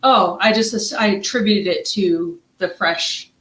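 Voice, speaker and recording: A woman's voice: medium pitch, slow pace, fairly bright tone. Woman, middle-aged, nasal and stuffed up, chatty, rambling, roomy echo. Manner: chatty, rambling with many fillers and restarts. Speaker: co-host of a wellness livestream. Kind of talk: casual chat. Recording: echoey room.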